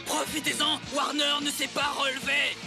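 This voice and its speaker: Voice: high voice, little pitch movement, moderate pace, loud music behind the voice. An actor playing an intense young boy footballer in a dubbed cartoon, calling out to teammates earnestly and emphatically. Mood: urgent shouting.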